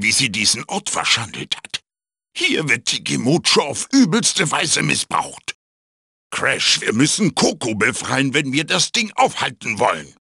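An actor voicing a wise, bossy old magical wooden mask in a video game, raspy and theatrical, urging and instructing like a mentor. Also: male voice, mid-range voice, sharp tone, dry recording, clean studio sound, full character voice. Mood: grave, urgent. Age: older. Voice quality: raspy, gravelly. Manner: theatrical, emphatic, exhorting. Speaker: guide and tutorial mentor. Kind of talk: video game character voice acting.

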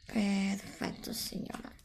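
A middle-aged woman whispering softly in an ASMR roleplay as a nutritionist, giving instructions in a drawn-out way. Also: background noise. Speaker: ASMR creator role-playing a nutritionist.

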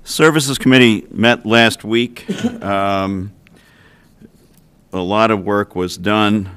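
An older man serving as a town councilor, explaining a decision in a measured way at a council meeting, speaking into a desk microphone. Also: on the low side, a bit bright, clean tone. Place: council chamber.

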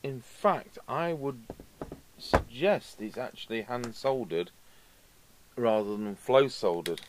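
A man's voice: mid-range voice, lively intonation, slow, background noise. A middle-aged man giving casual hands-on repair commentary, musing aloud to himself as he works. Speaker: radio repair video host. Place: indoor workbench, overhead camera.

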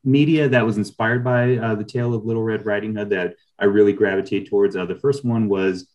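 Man, middle-aged, low-pitched, thoughtful interview guest, explanatory, meandering sentences, video call. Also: fairly even intonation, a little fast, a little mellow, muffled recording. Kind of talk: reflective interview talk.

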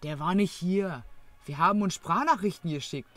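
A woman's voice: on the low side, normal speed, lightly acted. A performer voicing a blunt, colloquial, slovenly mother of grown sons, a woman in an audio drama parody.

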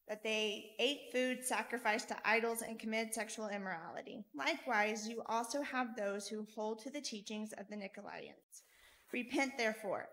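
A woman reading a scripture passage aloud in church in a measured, steady way. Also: fairly high pitch. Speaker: scripture reader.

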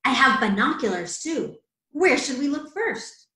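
A cheerful, teasing girl mouse from a storybook, read aloud by a woman. Her voice is chirpy and bright, bouncing up and down playfully in an echoey room. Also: high voice, sing-song, measured pace, heavily acted.

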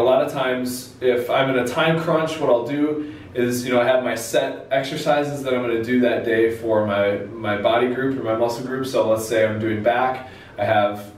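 A man, explaining things conversationally to the camera as a fitness video host. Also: medium pitch. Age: 20s. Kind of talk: informal instructional talk to camera. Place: small indoor room with a whiteboard. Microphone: camera mic a few steps away. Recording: very echoey room, distant mic, faint noise.

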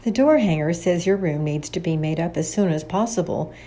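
A woman's voice: lowish voice, a little fast, dark tone, close to an everyday voice. A woman playing a polite, helpful hotel maid in a radio drama.

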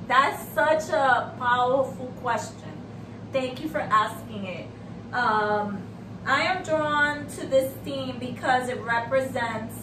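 High, measured woman, echoey. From Puerto Rico. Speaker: art show host, artist. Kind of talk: conversational talk show. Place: indoor room hung with paintings. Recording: echoey room, distant mic, noisy background.

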